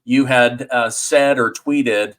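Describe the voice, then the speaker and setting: A man's voice: medium pitch, steady tone, slow, fairly bright tone. A man hosting a podcast interview, asking his questions hesitantly with many false starts. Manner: hesitant, stacks filler words before questions. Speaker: podcast host.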